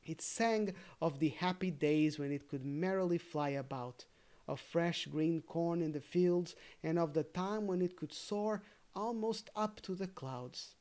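A storybook reader telling a fairy tale in a careful, gently expressive way, with casual asides. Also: mid-range voice, a little slow.

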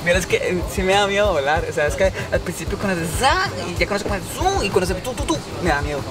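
A man's voice: high-pitched, big pitch swings, fast. A young man hosting a casual travel vlog, joking and exclaiming as he goes. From Central America. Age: young adult. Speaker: vlogger host. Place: airports, hotel rooms and a packed auditorium. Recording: loud music and a crowd behind.

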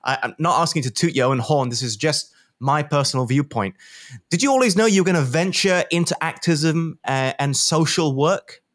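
A man's voice: a little high, brisk, fairly bright tone. A man from Malaysia hosting an interview over a video call, chatty and effusive, speaking into a desk microphone. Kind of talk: friendly interview questions. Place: home studio, video call. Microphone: desk microphone on a boom.

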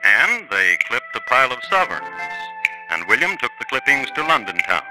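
A man hosting and narrating a 1950s radio crime drama in a measured, dramatic way. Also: medium pitch, very animated, poor audio. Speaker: narrator and host. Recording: old radio broadcast with music.

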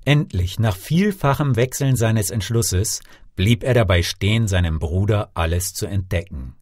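A man reading a fairy tale as an audiobook narrator, with dramatic, expressive delivery. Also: lowish voice, measured pace, dry recording, clean studio sound. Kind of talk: scripted storytelling, audiobook reading.

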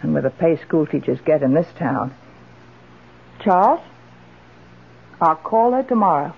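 An actress playing a genteel, well-to-do middle-aged widow in a radio drama, gracious and expressive. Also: female voice, sits low, a little slow, gravelly, husky, full character voice. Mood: questioning, wistful. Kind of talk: old-time radio drama acting. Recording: muffled, band-limited old radio broadcast.

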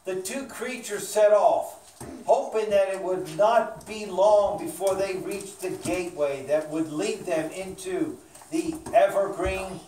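A children's puppet show narrator speaks slowly, in a deliberate storybook style at a middle pitch. The recording is echoey with clear background noise. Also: mellow. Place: small indoor meeting room with audience of children. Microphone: distant camera microphone. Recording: echoey room, distant, background hiss.

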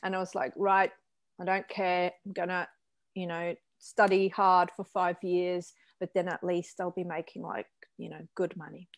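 A woman in her forties from Switzerland, a physiotherapist guest telling her story in a chatty, frank, animated way over a video call from her living room. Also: on the low side, normal speed, no room sound. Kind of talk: casual personal storytelling.

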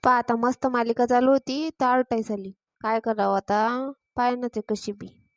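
A woman's voice: on the high side, flat delivery, moderate pace, no echo, lightly acted. A woman voicing a sarcastic, grumbling village mother and daughter-in-law in a cartoon comedy, with a rural Maharashtrian accent. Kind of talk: Marathi cartoon comedy dialogue.

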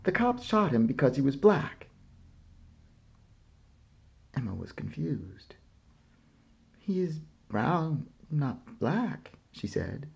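A man reading a children's picture book aloud in a slow, gentle way, lifting his voice for the children's lines. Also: mid-range voice, very animated, vocal fry, slightly rough, muffled recording.

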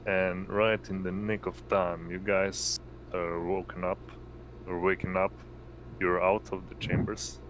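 Slow, low-voiced male game master. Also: fairly bright tone, a little hiss or hum, low-quality recording. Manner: halting, often pausing to find words. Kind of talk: narrating a tabletop roleplaying game.

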